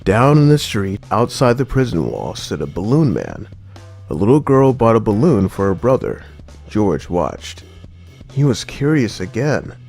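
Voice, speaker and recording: A man reading a children's storybook aloud in an expressive, dramatized narrating style. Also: mid-range voice. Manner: expressive, dramatizing key words. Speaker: audiobook narrator.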